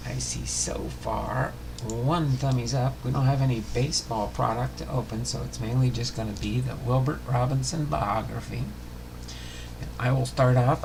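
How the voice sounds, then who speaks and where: A man hosting a livestream, talking casually and reading aloud in a halting way with uneven phrasing. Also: medium pitch, creaky, background noise. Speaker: host of a baseball biography livestream.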